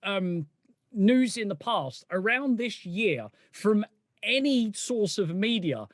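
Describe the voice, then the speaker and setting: Male podcast co-host, high lively voice, banters and swears freely. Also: slow, no room sound, studio quality. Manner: swears often, animated and jokey. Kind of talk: casual chat.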